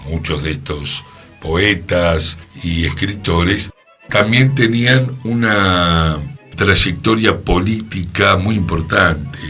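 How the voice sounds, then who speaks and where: A man with an Argentine accent hosting a radio programme, explaining cultural history in a measured, conversational way. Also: low voice, fairly bright tone, breathy edge. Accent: Argentine, Rioplatense. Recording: music behind the voice. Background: music.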